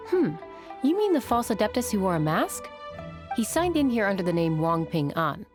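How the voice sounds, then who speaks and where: An actress playing a composed, helpful innkeeper in a video game, speaking in a measured, thoughtful manner. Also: female voice, medium pitch, sing-song, lightly acted. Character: composed female innkeeper, matter-of-fact and helpful. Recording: music playing behind.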